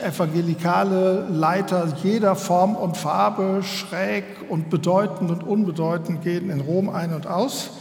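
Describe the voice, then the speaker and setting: A German man giving a public lecture to an audience, in a measured and earnest way, often pausing to find his words. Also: on the high side. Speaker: guest speaker. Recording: long hall reverb.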